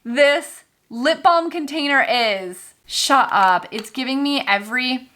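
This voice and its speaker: A woman chatting casually while unboxing beauty products, excitable and thinking aloud, her voice going hoarse. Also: high-pitched, slow pace. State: losing her voice. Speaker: beauty vlogger.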